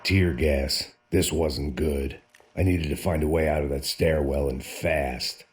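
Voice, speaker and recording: Very deep, world-weary American antihero. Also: male voice, a little slow. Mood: tense, dry. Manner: world-weary, brooding, deadpan. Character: weary, bitter, self-loathing American ex-cop antihero. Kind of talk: scripted noir voice-over narration and game dialogue.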